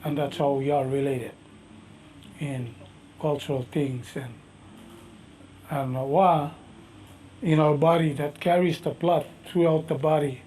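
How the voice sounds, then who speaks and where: Micronesian master navigator, middle-aged man, mellow voice, unhurried halting delivery, faint background hum. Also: a little high, fairly even intonation, low-quality recording. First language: a Micronesian language. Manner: slow, thoughtful, halting speech with many fillers. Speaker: master navigator and canoe captain. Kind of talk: interview answers.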